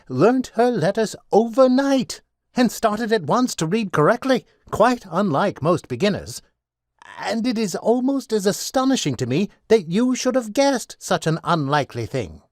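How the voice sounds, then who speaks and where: A man's voice: high-pitched, no room sound, clean studio sound. A man playing a pedantic, long-winded tutor in an audiobook drama, fussy, pompous and full of earnest wonder. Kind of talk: full-cast audiobook acting.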